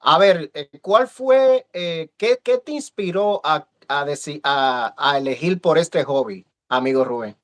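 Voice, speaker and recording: Man, live-stream host, slightly high voice, friendly, halting, filler-heavy, video call. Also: lively intonation. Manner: friendly, hesitant with many fillers. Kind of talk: casual live-stream interview.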